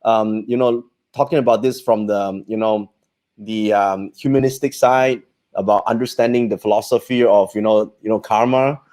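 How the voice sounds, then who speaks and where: A man's voice: on the low side, steady tone, moderate pace, darkish tone. A man hosting a livestream interview, encouraging his guest and leaning on fillers like "you know" and "right". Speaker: interviewer host.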